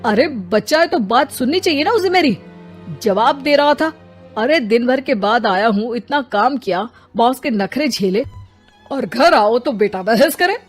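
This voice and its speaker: A woman's voice: on the high side, animated, measured pace, slightly rough, faint music behind. A woman voicing a grumpy, tired husband and father in a story, complaining and dismissive. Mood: aggrieved.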